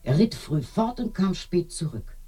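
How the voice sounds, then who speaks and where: An actress playing an eerie village widow in a radio drama, confiding and animated, with a dark husky voice that sinks to murmurs. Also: female voice, fairly low pitch, measured pace, faint music behind, full character voice. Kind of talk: binaural radio drama acting.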